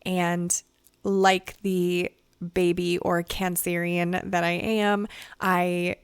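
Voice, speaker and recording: A woman hosting a solo podcast, her voice raspy and hoarse from crying, talking in a chatty, rambling way. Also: lowish voice, flat delivery, drawn-out words, no echo, studio quality. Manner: chatty and rambling, many "like" and "you know". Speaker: podcast host. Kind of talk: casual solo podcast review.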